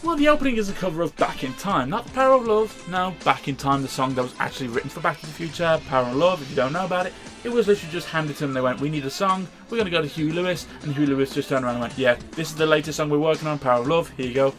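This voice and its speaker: A man's voice: fairly high pitch, racing, few pauses, a bit bright, faint music behind. A British man giving an informal, opinionated video review with sardonic, sweary commentary. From Britain. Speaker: host and reviewer.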